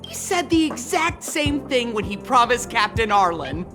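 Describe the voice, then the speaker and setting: A devoted young female fan in a video game, keen and a touch dramatic. Her pitch is high, and faint music runs behind her. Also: big pitch swings, normal speed, fairly bright tone. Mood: devoted, eager.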